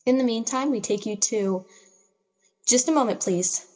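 A woman's voice: on the high side, normal speed, darkish tone, lightly acted. A woman playing a formal radio newsreader relaying grave news in a radio play. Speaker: news announcer. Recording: long hall-like reverb.

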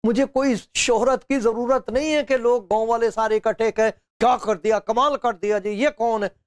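A man's voice: very high-pitched, fairly even intonation, normal speed, no room sound, studio quality. An older man preaching a sermon to camera with a lapel mic, telling stories and acting out quotes with chuckles. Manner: storytelling, acting out quotes with laughs and gestures. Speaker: pastor. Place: studio with bookshelves, indoors.

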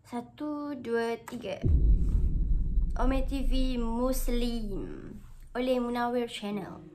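A woman's voice: fairly high pitch, takes it slowly, background noise. A young Malaysian woman with a Malaysian accent, hosting a reaction video in a casual, rambling way that mixes Malay and English and corrects itself as she goes. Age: young adult. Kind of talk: chatty Malay-English mix. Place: small indoor bedroom. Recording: music playing underneath.

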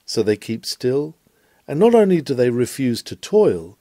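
A man reading a written sermon in an oratorical style, with dramatic emphasis and measured phrasing. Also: mid-range voice, sing-song, mellow, no echo, studio quality. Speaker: sermon reader.

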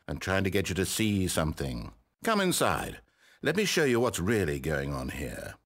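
A man's voice: low voice, huge pitch swings, normal speed, fairly bright tone, heavily acted. A man with a British accent playing a wry, condescending, controlling narrator in a game, eloquent and theatrical. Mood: exasperated, scornful. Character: wry, exasperated, controlling male storyteller narrator. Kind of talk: theatrical scripted storybook narration.

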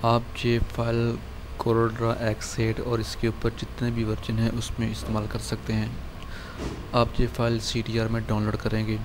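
A man, hosting a screen-recorded software tutorial and calmly walking through a design file with lots of filler words. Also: medium pitch, noisy background. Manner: calm, unhurried explaining with many fillers. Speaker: channel host showing a design file.

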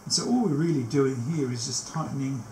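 The recording has a clearly roomy echo and faint noise, as from a camera mic in a small workshop. A man in his sixties speaks at a medium pitch, walking through a practical task step by step. His delivery is unscripted, with pauses as he works. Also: expressive, a little mellow. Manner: step-by-step explaining, often pausing mid-task. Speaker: technician demonstrating a tape machine setup. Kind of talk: hands-on demonstration, unscripted walk-through. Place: small cluttered indoor workshop. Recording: echoey room, faint background noise.

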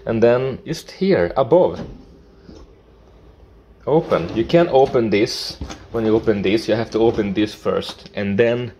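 Slow, low-voiced man touring his apartment. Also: a little mellow, a little hiss or hum. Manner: halting, hesitant, with restarts and playful asides. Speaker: vlogger giving a home tour. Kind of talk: casual home tour narration. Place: small apartment bathroom and kitchen indoors. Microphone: action camera worn on the body.

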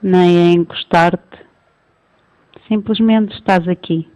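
A woman with a European Portuguese accent interpreting a guided meditation in calm, measured short phrases. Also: lowish voice, lo-fi sound.

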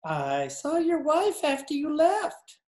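A man's voice: extremely high pitch, wildly swooping pitch, takes it slowly, a little mellow, heavily acted. A man voicing a gleeful, silly male sidekick with a giggly, gushing manner in old-time radio comedy. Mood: gleefully teasing. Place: indoor room at home. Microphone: computer mic on video call.

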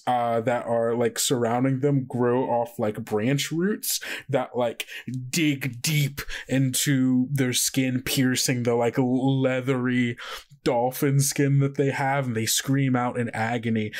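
A man with a mid-pitched voice acts as game master of a tabletop game. He narrates in casual, halting phrases peppered with "like" and talks through rules the same way. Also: darkish tone. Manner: informal, halting, frequent "like".

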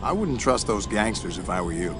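A man's voice: lowish voice, sing-song, brisk. A man playing a confident, laid-back mercenary pilot in video game cutscene dialogue, casual and self-assured. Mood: cocky ease. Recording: music behind the dialogue.

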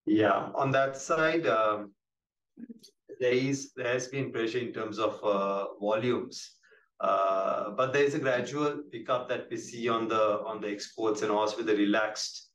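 A man with a Sri Lankan accent answering questions as a company executive on an earnings call, halting and measured, often repeating words. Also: on the low side, fairly bright tone. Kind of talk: business presentation, answering questions. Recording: roomy, distant mic with clear background noise.

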